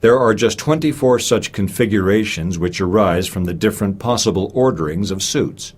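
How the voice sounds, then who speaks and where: A man reading a lecture aloud in a measured way, giving expressive emphasis to key phrases and quotations. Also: fairly low pitch, slightly rough. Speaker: reader of a recorded speech.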